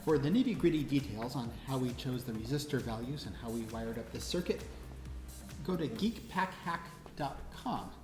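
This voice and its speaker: A woman's voice: very deep voice, animated, normal speed. A woman instructor presenting a hands-on tutorial, explaining step by step and prompting with questions. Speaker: presenter and instructor of a DIY electronics show. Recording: roomy echo, music behind. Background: background music.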